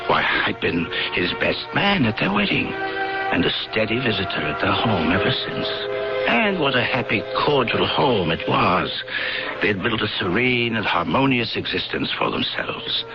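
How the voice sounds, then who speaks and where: Earnest worried friend, old radio play, gravelly n lively, music underneath. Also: male voice, on the low side, normal speed. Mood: earnest, narrating. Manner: earnest, dramatic. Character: concerned, loyal male family friend telling his story. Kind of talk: old-time radio drama narration. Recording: muffled old radio recording, music behind.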